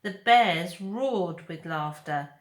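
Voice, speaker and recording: A woman reading children's fiction aloud as a deliberate, expressive storyteller. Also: fairly low pitch. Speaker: storybook reader. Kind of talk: dramatic read-aloud narration of children's fiction. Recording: echoey room.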